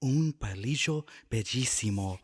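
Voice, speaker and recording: A man playing a gentle, courteous young Puerto Rican painter in an audio drama, speaking earnestly. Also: medium pitch, animated, unhurried, husky.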